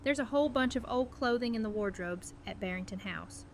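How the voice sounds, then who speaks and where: A woman playing a brisk, caring friend in an audio drama, matter-of-fact in manner. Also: fairly high pitch, slight background noise, lightly acted. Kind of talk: fan audio drama acting.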